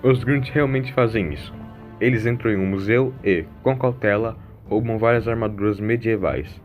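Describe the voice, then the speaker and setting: Lowish-voiced man narrating a story. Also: normal speed, highs cut off. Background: faint music.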